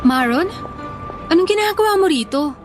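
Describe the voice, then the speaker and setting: Fed-up ex-girlfriend, high female voice, blunt, weary, firm, radio drama, soft music behind. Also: animated, normal speed. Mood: weary, reluctant. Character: weary, blunt ex-girlfriend firmly ending a long relationship. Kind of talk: emotional radio drama dialogue.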